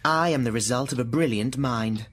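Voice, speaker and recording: An actor playing an aloof thinking machine in a radio drama, measured, precise and mocking. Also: male voice, medium pitch, fairly bright tone, natural voice. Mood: coolly logical. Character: sentient thinking machine, aloof, taunting, coolly logical.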